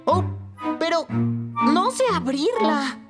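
Slow, whiny, high-voiced little boy. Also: very animated, loud music behind the voice, full character voice. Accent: Latin American Spanish. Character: mischievous, whiny young boy. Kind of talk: animated children's series dub.

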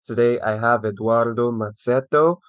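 A man's voice: mid-range voice, moderate pace, dry recording. A young man hosting a podcast over a video call, friendly and rambling with fillers. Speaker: podcast host. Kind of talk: casual interview. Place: small room indoors, video call. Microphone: headset.

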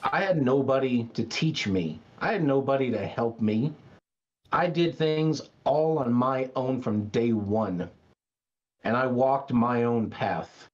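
A man, a guest wrestler, speaking slowly and with self-assurance on a podcast video call. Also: medium pitch, muffled recording.

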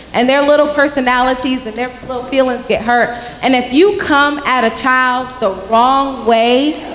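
A young woman giving a youth message at church, telling a story with lively acted-out voices into a handheld mic. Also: high-pitched, slight background noise. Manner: animated storytelling, acting out quoted voices. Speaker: youth speaker. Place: large church sanctuary with congregation. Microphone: handheld microphone. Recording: echoey sanctuary from a far camera.

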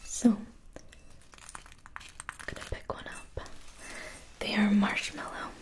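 A young woman whispering gentle instructions in an ASMR spa roleplay, speaking slowly and softly to the listener. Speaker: ASMR creator. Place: indoors, small room with a floral backdrop.

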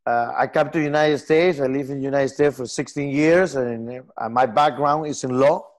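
A man in his forties from Peru, speaking English with a Peruvian Spanish accent, earnest and halting in a casual video-call interview. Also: medium pitch. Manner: earnest, gestures a lot, halting non-native phrasing. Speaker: software company founder. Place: home room with lamp and armchair.

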